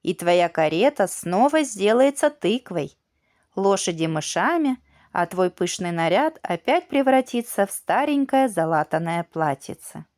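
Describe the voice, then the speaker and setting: A woman voicing a kindly but firm fairy godmother in a storybook, speaking in a measured, admonishing way. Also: medium pitch, big pitch swings, darkish tone, no room sound, studio quality. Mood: solemn warning.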